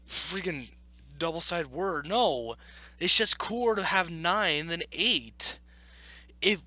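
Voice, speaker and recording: A man's voice: on the high side, pushed and bright, no echo. A man giving opinionated, casual commentary as a video host, arguing emphatically and repeating his points. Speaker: video host reacting to an article. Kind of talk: opinionated casual commentary with read-aloud passages.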